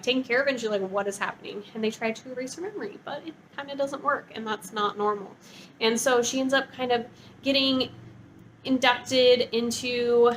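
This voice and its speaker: A woman with a fairly high voice talks in a bubbly, informal way, like a chatty vlogger reviewing for her viewers. She gushes freely, and there is noticeable background noise on the recording. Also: a bit bright, rough recording. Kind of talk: casual chatty book review.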